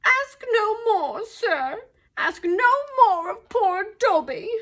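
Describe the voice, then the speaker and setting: A woman's voice: very high-pitched, expressive, takes it slowly, sharp tone, pitched above the performer's own voice, exaggerated voice. A woman voicing a small male house elf for a storybook, servile, fearful and anguished, squealing and moaning as he pleads. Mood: frightened warning. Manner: pleading, stammering, tearfully dramatic.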